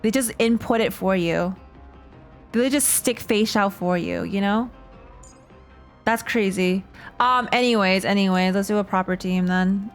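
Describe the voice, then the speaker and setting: A woman's voice: medium pitch, a little slow. A woman streams a game in a casual, rambling voice. She thinks aloud in slangy asides as she talks to her chat. Faint music plays behind her. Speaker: streamer playing and reacting to a game.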